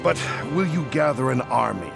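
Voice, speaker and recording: Earnest game dwarf, deferential, fry-edged voice, lively pitch. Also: male voice, normal speed. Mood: insistent. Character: earnest, humble male dwarf warrior. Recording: game cinematic under loud music.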